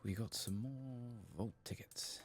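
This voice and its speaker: A man hosting a gaming video in a soft-spoken ASMR style, sometimes whispering, murmuring his thoughts unhurriedly as he plays. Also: mid-range voice, slight background noise. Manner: murmured, unhurried musing to himself.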